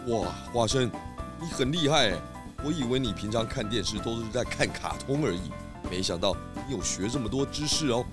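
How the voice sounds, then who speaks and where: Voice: mid-range voice, expressive, fairly quick, music in the background, close to an everyday voice. An actor playing a kindly, food-loving pig detective in a children's audio drama, exclamatory and encouraging. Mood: cheerful, admiring.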